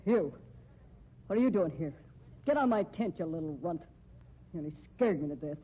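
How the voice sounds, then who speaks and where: A woman's voice: lively intonation, brisk. An actress playing an irritable, educated circus bearded lady, in 1950s radio drama style. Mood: angry, defensive. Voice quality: raspy, low voice. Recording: muffled old radio recording.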